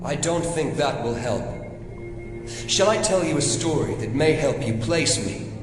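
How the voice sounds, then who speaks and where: An actor playing a haunted, defiant man recounting his past in deliberate, dramatic audio drama narration. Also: on the high side, expressive, over loud music. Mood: taunting. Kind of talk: dramatic first-person storytelling in audio drama. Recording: distant mic, clear background noise.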